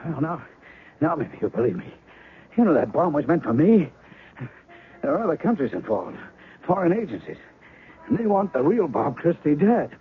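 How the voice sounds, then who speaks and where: A man's voice: on the high side, sing-song, normal speed, long pauses, slight background noise. An actor playing a guarded, suspicious man who claims to be a woman's ex-husband, in a 1970s radio drama. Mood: urgent. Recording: tinny old radio broadcast.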